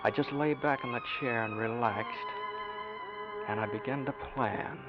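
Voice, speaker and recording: An actor playing a paralyzed American war veteran who narrates his inner plans for revenge in a halting, intense radio-drama monologue. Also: mid-range voice, close to an everyday voice. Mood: brooding, resolved. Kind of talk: old-time radio drama first-person narration. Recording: dull old radio recording, loud music behind.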